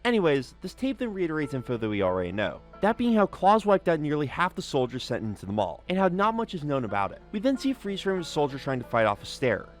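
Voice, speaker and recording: A man's voice: on the high side, very animated, fast, few pauses, soft background music. A man narrating a scripted video analysis with casual asides, in an emphatic, conversational and informal way. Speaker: video essay host and narrator.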